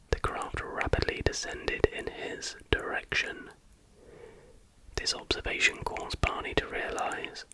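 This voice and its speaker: A man reading a factual account aloud as an ASMR host, entirely in a soft, unhurried, unvoiced whisper. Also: rough recording.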